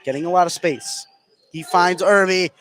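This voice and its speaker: An American man doing live basketball play-by-play, getting excited and stretching his words on big plays. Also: sits high, normal speed, low-quality recording. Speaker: sports play-by-play announcer. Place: indoor high school gym. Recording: clear gym noise behind the voice. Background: gymnasium ambience.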